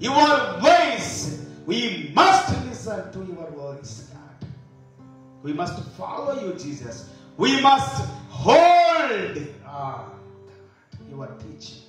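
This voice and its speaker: A man with a high voice prays fervently as a pastor, stretching his words slowly. He sounds far from the mic in a very echoey space, with guitar music playing clearly behind him. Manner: impassioned, with slow drawn-out English phrases. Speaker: pastor leading prayer. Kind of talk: fervent spoken prayer. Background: soft guitar playing.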